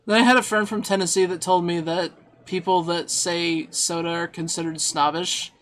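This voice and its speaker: High-voiced man rambling on a stream. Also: fairly even intonation, normal speed, a bit bright, poor audio. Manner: rambling, self-deprecating, laughs easily. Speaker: game streamer. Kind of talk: casual chat while playing and reading chat.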